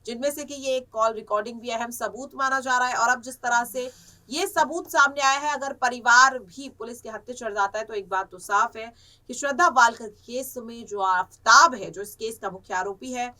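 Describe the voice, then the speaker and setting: A woman delivers news commentary in a high, sharp and forceful voice. Her phrasing is emphatic and repetitive, like a presenter working through a report. She sounds a little away from the microphone. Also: normal speed, distant mic.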